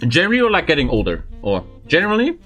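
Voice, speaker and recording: Lively bright-voiced man in his twenties. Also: on the high side, rapid-fire, soft background music. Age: 20s. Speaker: podcast host and streamer. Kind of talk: casual podcast chat.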